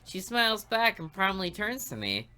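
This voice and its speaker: Bright-voiced woman reading a game aloud. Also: medium pitch, sing-song, normal speed. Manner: playful, joking asides between read lines. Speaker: let's play host reading a visual novel aloud.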